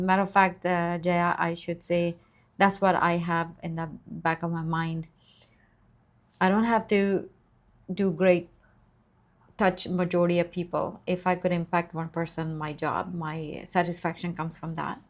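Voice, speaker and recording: A woman's voice: fairly low pitch, flat delivery, a little slow. A middle-aged woman from Nepal, a nurse practitioner telling her life story in an interview, earnest and grateful.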